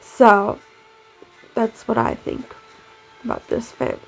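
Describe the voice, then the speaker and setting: A woman's voice: fairly high pitch, very animated, unhurried, vocal fry, poor audio. A young woman with a stuffy, nasal cold, chatting casually in a rambling way while narrating her game build. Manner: chatty and rambling, lots of "like" fillers. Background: faint background music.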